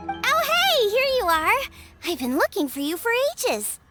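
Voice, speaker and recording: A woman voicing a perky, earnest teenage girl chef in a video game, bubbly and expressive. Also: very high-pitched, a little slow, loud music behind the voice, heavily acted. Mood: excited.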